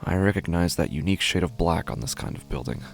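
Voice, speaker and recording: An actor playing a menacing, nihilistic street tough in a first-person horror podcast monologue, brooding and deliberate, with a gravelly voice and heavy pauses. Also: male voice, low-pitched, expressive. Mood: bitter, dismissive. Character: menacing, drugged, nihilistic male street tough with violent urges. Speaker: horror fiction podcast narrator. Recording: music under the voice. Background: background music.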